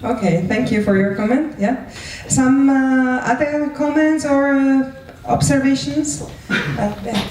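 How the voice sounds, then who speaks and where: A woman's voice: high voice, measured pace, a little mellow. A woman moderating a live discussion informally, thanking speakers and handing the floor around. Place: large echoey room with an audience. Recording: echoey hall, distant mic, faint noise.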